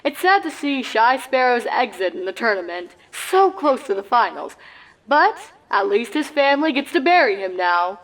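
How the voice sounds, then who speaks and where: A woman voicing an excitable pony sports announcer with hyped, showy broadcast delivery in a comedic story reading. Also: fairly high pitch, normal speed, low-quality recording. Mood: upbeat, showy.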